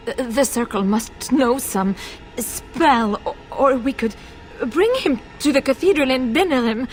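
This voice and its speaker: A woman playing a desperate, grieving noblewoman and mother in a video game, pleading in a halting, emotional way. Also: high voice, animated, soft background music. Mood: desperate bargaining. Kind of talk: dramatic video game voice acting.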